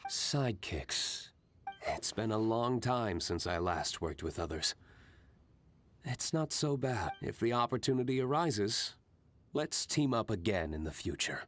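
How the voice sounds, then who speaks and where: An actor playing a composed, brooding young gentleman vigilante in a video game, speaking in a measured, formal, restrained way. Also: on the low side, big pitch swings, slight background noise. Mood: quietly warm. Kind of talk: video game character acting. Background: game music.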